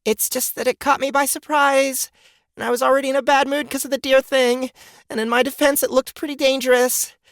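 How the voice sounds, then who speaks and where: A high-pitched young woman druid, exasperated and sarcastic, in a fantasy audiobook. Her delivery is casual and grumbling, and the sound is very dry and close. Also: level tone, brisk, no room sound. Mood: sheepish. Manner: exasperated, casual muttering and cursing. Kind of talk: fantasy audiobook character acting.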